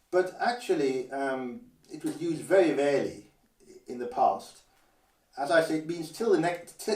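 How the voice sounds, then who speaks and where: An older man from Guernsey teaching basic phrases in a measured, careful way and slowing down to model each phrase. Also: fairly high pitch, darkish tone. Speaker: language teacher. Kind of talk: instructional explanation. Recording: roomy echo, a little distant from the mic.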